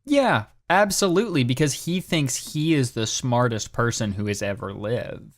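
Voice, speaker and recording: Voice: mid-range voice, animated, moderate pace, no echo, clean studio sound. A podcast co-host giving a dramatic reading and comic chat, sounding exasperated and groaning over a bad text.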